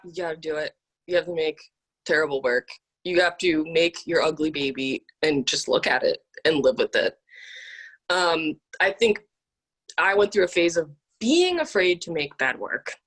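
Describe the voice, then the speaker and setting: A woman's voice: deep voice, expressive, measured pace, fairly bright tone, low-quality recording. A woman artist chatting casually in an informal, emphatic way, often saying "like". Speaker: visual artist. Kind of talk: casual chat about art and work.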